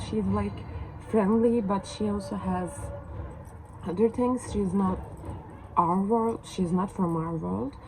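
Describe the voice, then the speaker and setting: Young turkish woman vlogging about books, lots of ums and restarts, noisy background hum. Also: medium pitch. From Turkey. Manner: hesitant, many fillers and restarts. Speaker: book vlogger. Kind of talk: casual chat to camera.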